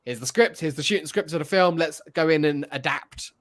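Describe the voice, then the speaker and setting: A man's voice: fairly high pitch, brisk, a bit bright. A man co-hosting a casual film-fan podcast, chatting informally and slipping into mock quotes as he tells things. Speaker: podcast co-host.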